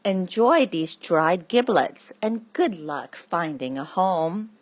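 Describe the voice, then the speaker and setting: A woman acts out a gruff butcher from a children's storybook. She is blunt and scolding, turning people down at a slow, emphatic pace. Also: fairly low pitch, lively intonation. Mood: annoyed, dismissive. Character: gruff, blunt butcher who refuses dogs. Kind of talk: children's read-aloud.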